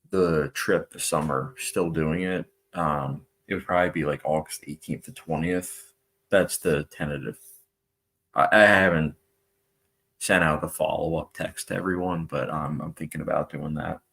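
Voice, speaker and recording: Young man, deep voice, casual stream chat, reads comments aloud, filler-heavy. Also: a little slow, creaky, fairly bright tone. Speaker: movie channel host.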